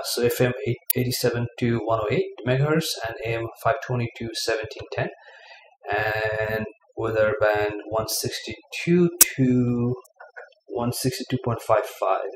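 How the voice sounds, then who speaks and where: A man giving a casual unboxing review of a gadget, talking haltingly with many fillers, at a table in his living room. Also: medium pitch, steady tone. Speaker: product reviewer. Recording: echoey room, faint room noise.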